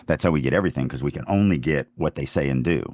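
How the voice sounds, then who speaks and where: Male writer-podcaster, notably low voice, dry close sound, conversational and emphatic. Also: rapid-fire, a little mellow, dry recording. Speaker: writer co-hosting a writing podcast. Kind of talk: casual conversational writing discussion.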